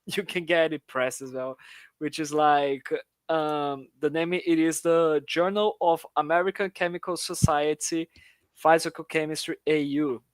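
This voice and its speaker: A man's voice: fairly high pitch, fairly even intonation, measured pace, clear, resonant tone, no echo. A man chatting on a podcast, laughing often and restarting his sentences. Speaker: podcast co-host. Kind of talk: casual podcast chat.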